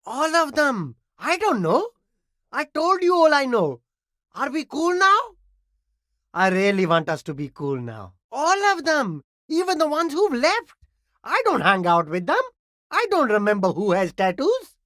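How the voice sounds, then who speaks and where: High-pitched, animated street vendor. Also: male voice, unhurried, no room sound, studio quality, full character voice. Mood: nervous, pleading. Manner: ingratiating, evasive, pleading sales patter. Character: immigrant male street vendor, eager, defensive, salesy hustler. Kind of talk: video game character dialogue.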